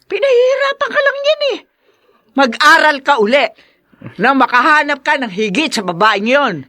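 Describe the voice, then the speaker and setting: A woman's voice: high-pitched, big pitch swings, normal speed, bright, forceful tone, heavily acted. A woman playing a scornful, meddling mother of a grown son in a Tagalog radio drama, mocking and exclamatory. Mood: scornful, urging.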